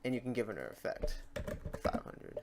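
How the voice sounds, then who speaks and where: Male tutorial host, medium pitch, informal and off the cuff, muttering his way through on-screen steps. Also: sing-song, moderate pace, background noise. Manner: informal, talks to himself while clicking through steps. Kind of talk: casual unscripted screen-recorded tutorial narration.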